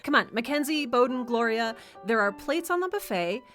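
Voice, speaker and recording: A woman's voice: on the high side, animated, normal speed, soft background music. A woman playing a gracious, teasing, welcoming wife hosting guests in a comedic audio drama. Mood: hospitable. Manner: warm, teasing, hospitable.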